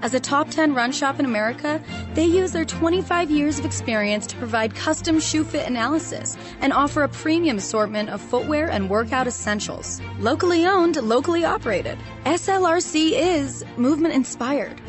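High-voiced woman reading an ad. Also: animated, normal speed, music in the background. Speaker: ad announcer. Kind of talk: scripted radio ad read.

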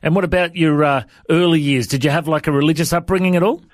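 A man hosts a radio interview in a relaxed, chatty way, often pausing with "uh" and "you know". His voice sits slightly high and sounds close and dry, with no room around it. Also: dry recording. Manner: friendly, informal, with frequent fillers. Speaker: radio show host. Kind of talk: conversational interview.

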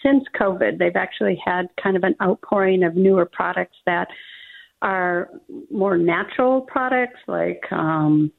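Gravelly-voiced woman vet on a phone line. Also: lowish voice, unhurried. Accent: Minnesotan. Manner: practical, filler-heavy advice with many ums. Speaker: veterinarian guest. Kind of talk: radio call-in interview.

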